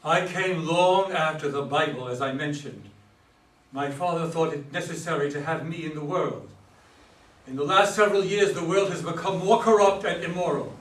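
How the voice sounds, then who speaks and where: Man playing a high-voiced, solemn self-declared messiah. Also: normal speed, fairly bright tone, close to an everyday voice. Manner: earnest, declarative, preachy. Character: solemn delusional young man claiming to be God's son. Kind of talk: staged play reading. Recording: echoey room, distant microphone.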